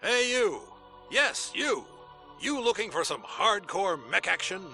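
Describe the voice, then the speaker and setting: A man's voice: high-pitched, big pitch swings, slow pace, vocal fry, pushed and bright, faint music behind, exaggerated voice. A male actor playing a brash, goofy, self-promoting arena commentator in a video game, with bombastic, cocky showman's patter. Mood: cocky, excited. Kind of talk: showy sports broadcast patter.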